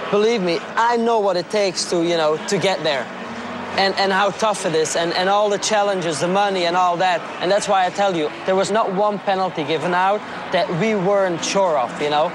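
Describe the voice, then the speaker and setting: Male race official, insistent, high voice, noisy outdoor recording. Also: moderate pace. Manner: insistent, repeats himself to drive points home. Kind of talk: addressing drivers.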